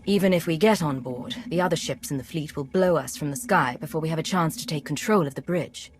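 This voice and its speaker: A woman playing a composed, assured agent and commander in a video game, speaking in a measured, decisive way. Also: low-pitched, music in the background, natural voice.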